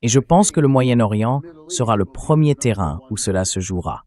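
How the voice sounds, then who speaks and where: A man's voice: mid-range voice, lively intonation, moderate pace, darkish tone. A man's synthetic AI voice-over delivering conversational, explanatory political commentary as a dubbed interview.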